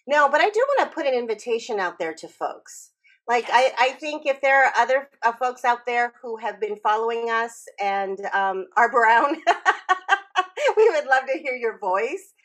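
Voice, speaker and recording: A woman's voice: a little high, expressive, unhurried, fairly bright tone. A woman co-hosting a casual interview podcast, inviting and considerate, often checking in as she talks. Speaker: podcast co-host.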